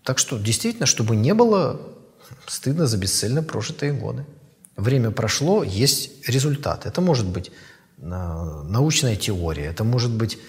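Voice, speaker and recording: A man in his fifties, an author, giving thoughtful interview answers in a measured way with pauses and fillers. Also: mid-range voice, big pitch swings, a little mellow, long reverb tail. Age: 50s.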